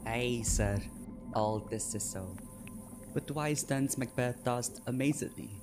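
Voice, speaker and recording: A man acting an eerie, coolly taunting witch in a measured, incantatory Shakespeare reading. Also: medium pitch, natural voice. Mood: coolly mocking. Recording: ominous music behind the voice.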